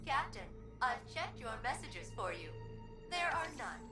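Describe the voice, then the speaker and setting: High, bouncy, eager ship AI. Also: female voice, sing-song, measured pace. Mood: chirpy, needy. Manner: perky, bright-mannered, exaggeratedly formal. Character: chirpy, earnest female ship AI, eager and needy. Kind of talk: animated video game acting. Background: music.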